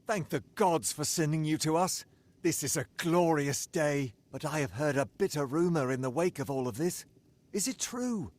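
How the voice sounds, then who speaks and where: An actor playing an earnest, weary soldier from a besieged fortress in a fantasy video game, speaking solemnly and formally. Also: male voice, a little high, animated, normal speed, a little mellow, dry recording, natural voice. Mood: solemn, grateful.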